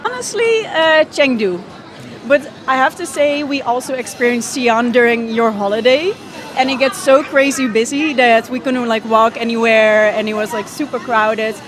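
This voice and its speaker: A woman's voice: fairly high pitch, moderate pace, crowd noise. A young woman from the Netherlands, a tourist, chatting in an upbeat way in a street interview. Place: busy city street outdoors. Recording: crowded street background.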